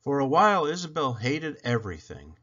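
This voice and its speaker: Male storyteller for children's picture book, mid-pitched and very expressive, slightly muffled lo-fi sound. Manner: expressive, deliberate storytelling delivery. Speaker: read-aloud storyteller. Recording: dull lo-fi recording.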